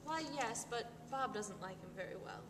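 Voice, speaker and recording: A woman's voice: medium pitch, lively intonation, moderate pace, lightly acted. A woman playing a naive, puzzled, conventional married woman with an innocent, hesitant way of speaking in an amateur stage-play rehearsal. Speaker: amateur actor. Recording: distant mic, echoey hall, clearly noisy.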